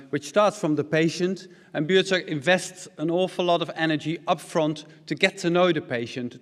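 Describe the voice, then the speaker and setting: A middle-aged man from the Netherlands with a Dutch accent, giving a conference presentation at podium mics in a large hall, explaining with frequent ums and uhs. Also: on the high side. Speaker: conference speaker. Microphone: stand mics at a podium. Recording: long hall reverb.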